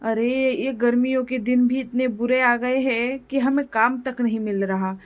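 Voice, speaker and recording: A woman's voice: on the high side, steady tone, unhurried, natural voice. A woman voicing a poor, worried village father in a moral story, humble and pleading.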